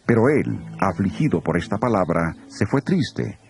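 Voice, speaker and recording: A man with a gravelly voice narrating scripture in a grave, storytelling delivery. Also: low-pitched, very animated, moderate pace, a little mellow.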